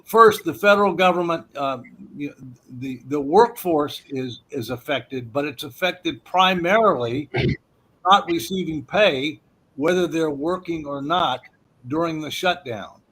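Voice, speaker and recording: A man's voice: a little high, unhurried, a bit bright, rough recording. A man hosting an economics forum, explaining policy effects with frequent hesitations and "okay" checks.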